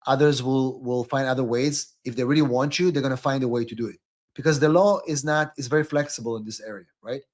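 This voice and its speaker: A man's voice: mid-range voice, fairly even intonation, quick pace. Man casually hosting a live advice stream. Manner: repeats words, tags sentences with "right?". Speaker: immigration attorney hosting a live call-in stream.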